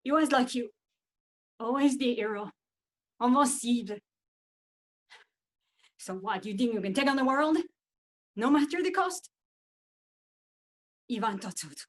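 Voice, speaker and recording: An actress playing a grieving, embittered wartime Frenchwoman, halting and emotional, with a French accent. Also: fairly high pitch, animated. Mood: challenging. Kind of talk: theatrical monologue.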